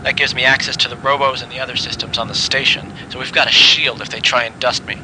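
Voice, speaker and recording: A man's voice: flat delivery, fast, lightly acted. A man playing a brash, scheming corporate executive in a game audio log, clipped, slangy and conspiratorial. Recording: noisy audio log with machinery rumble.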